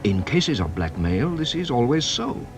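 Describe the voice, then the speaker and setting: An actor playing a shrewd, playful French police inspector in a British radio drama, with a French accent and a teasing, theatrical delivery. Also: male voice, mid-range voice, sing-song, moderate pace, over music. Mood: brisk, probing.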